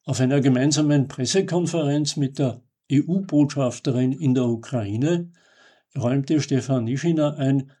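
A man reading a scripted commentary as a radio host, in a measured, formal and emphatic way. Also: medium pitch, warm tone, breathy edge.

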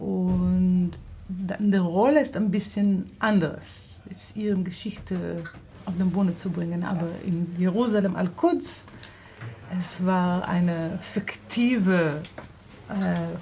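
A woman from Israel with an Israeli accent, an actress and theater director, speaking German slowly, searching for words and laughing often in a radio studio interview. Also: fairly low pitch, warm tone, a little hiss or hum, low-quality recording.

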